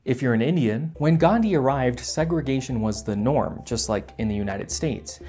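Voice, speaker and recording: A man's voice: mid-range voice, animated, normal speed, warm tone, faint music behind. A man narrating a scripted video essay with sarcastic, wry asides.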